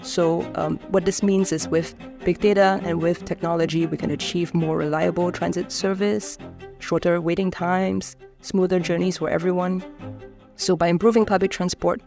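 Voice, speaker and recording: A woman, explaining her research in a measured way with frequent fillers and restarts. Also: fairly low pitch, level tone, creaky, warm tone, soft background music. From Singapore. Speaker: engineering researcher. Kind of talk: explanatory interview talk.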